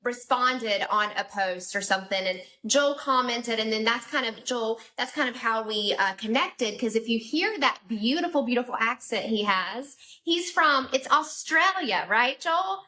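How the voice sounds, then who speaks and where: A woman with a Southern American accent and a bright, forceful voice that sits a little high. She hosts in a bubbly, upbeat way and talks straight to her viewers. It sounds like a video call. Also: lively intonation, a little slow, few pauses. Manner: bubbly, upbeat, addresses the audience directly. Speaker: podcast host. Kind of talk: live-stream interview hosting.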